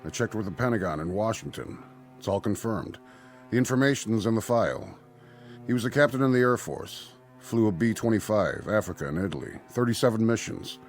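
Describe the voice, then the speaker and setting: Actor as low, lively American hospital doctor. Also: male voice, a little fast, lightly acted. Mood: explanatory, grave. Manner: explanatory, reassuring. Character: concerned, businesslike male hospital doctor. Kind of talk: radio drama acting. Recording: studio radio drama with faint music.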